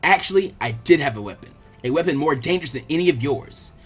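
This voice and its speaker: A teenage boy ninja trainee, acted out by a narrator, casual and boastful but good-natured. The voice is a bit husky and moves around a lot in pitch, suited to an anime story. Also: animated, fast, faint music behind. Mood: smug.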